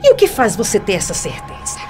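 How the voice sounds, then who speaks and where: A woman's voice: mid-range voice, huge pitch swings, a little fast, a little mellow. An actress playing a scheming, domineering woman in a Brazilian radio soap opera, mocking and theatrical. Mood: threatening, taunting. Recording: music bed under the voice.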